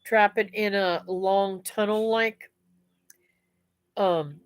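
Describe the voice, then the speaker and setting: Slow-talking woman with a stuffy nose. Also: mid-range voice, fairly even intonation. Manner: chatty, rambling, talks to viewers as "guys" and "y'all". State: slightly nasal, stuffy. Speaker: homestead vlogger reading live comments. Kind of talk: casual live-stream chat.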